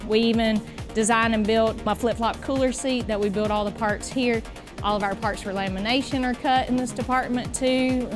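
A woman in her forties, voice sitting slightly high, leads a walking tour through a large factory workshop. She talks in a brisk, explanatory way, listing steps as she goes, caught on a lapel mic over background music. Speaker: boat company guide.

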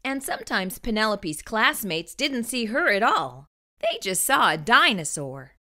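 A woman narrates a picture book for young children, with a lively swing in pitch and a bright voice. Her storytelling is expressive and deliberate. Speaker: storybook read-aloud host.

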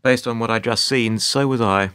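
A man narrating a radio play as a wry, put-upon storyteller, measured and sardonic. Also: mid-range voice, a bit bright, clean tone, close to an everyday voice. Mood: wry, dry. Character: wry, put-upon male narrator recalling awkward encounters.

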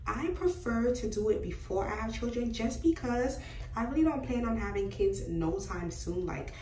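A woman in her twenties talking casually to the camera, chatty and animated as she gestures with her hands. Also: fairly high pitch, moderate pace, a little hiss or hum. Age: 20s. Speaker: beauty vlogger. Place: small room indoors by a doorway. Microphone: camera at a distance. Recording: roomy, slightly distant sound.

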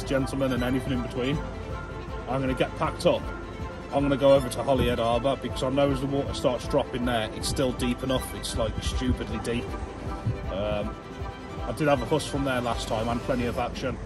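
A man's voice: medium pitch, steady tone, fairly quick, over music. A man hosting an angling vlog, talking in a casual, colloquial, easygoing way. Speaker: angling vlogger and host. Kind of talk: casual vlog chat and narration.

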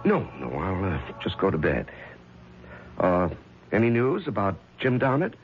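An actor playing a secretly murderous magistrate in a 1970s radio drama, brooding, deliberate and theatrical, calculating and self-justifying. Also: male voice, fairly low pitch, huge pitch swings, slight background noise. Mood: guarded, evasive. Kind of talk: 1970s radio drama acting with confessional narration. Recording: dull 1970s radio recording, music behind.

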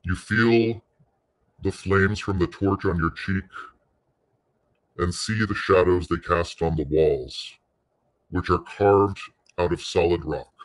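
Male meditation narrator, low register, very slow delivery, soothing and unhurried, short phrases with pauses. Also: fairly even intonation. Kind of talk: guided meditation.

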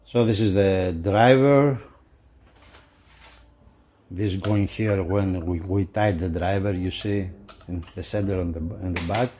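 A male reviewer talks through an unboxing in a lowish, mellow voice. His delivery is very slow, with halting, broken phrasing as he describes what he handles. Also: slight background noise. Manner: halting, broken phrasing in non-native English. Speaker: product reviewer for a magazine. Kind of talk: unboxing commentary.

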